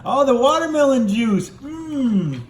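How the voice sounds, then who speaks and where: Man, playful puppeteer for children, animated exclamations, improvised feel, roomy echoing sound. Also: very high-pitched, takes it slowly. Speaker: father puppeteering toy ponies for a kids' video.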